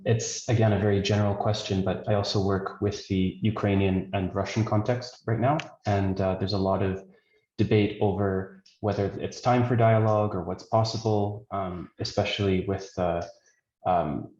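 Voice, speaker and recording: A man's voice: lowish voice, steady tone, moderate pace, a little mellow. A man asking a question in a webinar, polite and thoughtful with frequent ums, over a dull, echoey video call.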